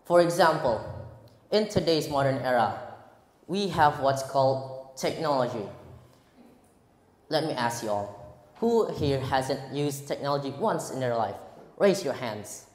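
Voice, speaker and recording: Slow, earnest Indonesian teen boy. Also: medium pitch, animated. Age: teens. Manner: earnest, halting, with frequent restarts. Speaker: student speech contestant. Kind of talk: prepared school speech. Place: large echoey hall. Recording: roomy hall with long reverb.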